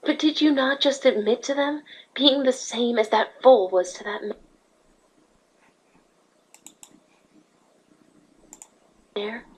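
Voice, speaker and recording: A woman playing a calm, regal pony princess in a fan audio drama, speaking patiently and in measured phrases. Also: fairly high pitch, breathy edge, rough recording. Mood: calm, probing. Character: calm, regal, gentle pony princess, female, peace-seeking.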